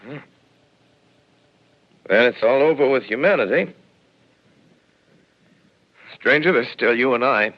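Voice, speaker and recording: An actor playing a weary, shaken male scholar who speaks in a subdued, measured, questioning way. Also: mid-range voice, lively intonation. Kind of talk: 1930s radio drama acting. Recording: dull lo-fi vintage radio broadcast.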